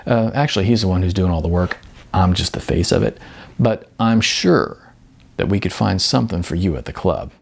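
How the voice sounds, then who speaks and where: A man voicing a laid-back, apologetic young movie star in an audiobook, speaking in a halting, casual, earnest way. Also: low-pitched, animated, gravelly, voice pushed down, exaggerated voice. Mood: friendly, offering.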